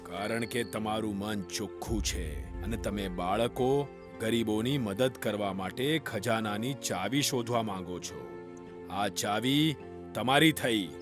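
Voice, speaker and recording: A man's voice: fairly low pitch, takes it slowly, music in the background. A man voicing an ancient, stern jinn who guards a treasure in a children's cartoon story, commanding and full of warning. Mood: solemn, approving.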